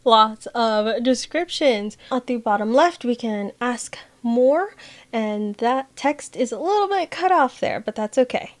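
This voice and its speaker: A woman's voice: fairly high pitch, lively intonation, unhurried. A woman hosting a tech vlog, talking casually through an app demonstration. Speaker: host of an accessibility tech channel.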